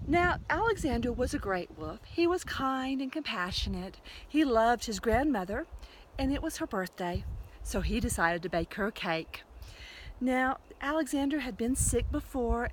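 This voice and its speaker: A woman telling a fairy tale in an animated, conversational storytelling manner. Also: on the high side, a little hiss or hum, rough recording. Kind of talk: oral fairy tale retelling.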